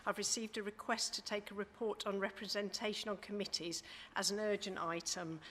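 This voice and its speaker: A woman with a mid-pitched voice reads names and notices in a crisp, businesslike way at a formal council meeting. The hall around her has a long reverb, with a faint noise underneath. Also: level tone, brisk, a bit bright. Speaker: chief executive of the council. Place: large council chamber.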